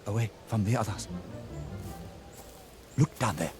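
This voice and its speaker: An actor playing a weary, troubled army lieutenant in a radio drama, speaking earnestly and pleadingly. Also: male voice, fairly low pitch, a little slow, a little mellow, close to an everyday voice. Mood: weary, pensive. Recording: dramatic music under the voice.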